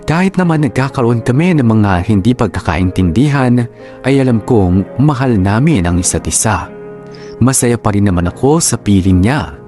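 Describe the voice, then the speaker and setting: A man with a Filipino accent narrating a wife's sentimental love story in the first person, in a deliberate radio drama style. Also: mid-range voice, animated, mellow, faint music behind, close to an everyday voice. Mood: reflective. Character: aggrieved wife recounting her marriage.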